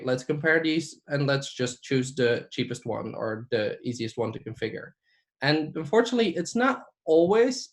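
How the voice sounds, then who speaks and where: A man from Belgium, a Dutch native speaker, giving a conference talk in a casual way with many ums and likes. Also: mid-range voice.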